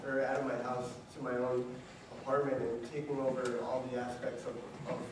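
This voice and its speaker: A young man in his twenties talks earnestly on a panel, full of "uh"s and "you know"s. He is picked up by a far-off mic in a very echoey meeting room. A steady hiss of noise sits under his voice. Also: medium pitch, fairly quick. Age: 20s. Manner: earnest, advice-giving, many fillers. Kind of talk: casual personal account. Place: large meeting room, indoors.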